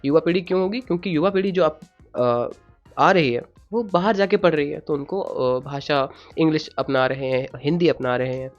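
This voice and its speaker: A man's voice: a little high, normal speed, highs cut off, soft background music. A man from Bundelkhand answering an interview, hesitant and searching for words. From Bundelkhand, India.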